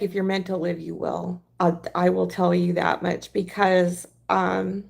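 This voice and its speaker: Mujer ronca que habla muy despacio, voz grave y rasposa. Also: female voice, on the low side. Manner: halting, rambling. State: hoarse. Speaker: livestream crafter. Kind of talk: casual livestream chat.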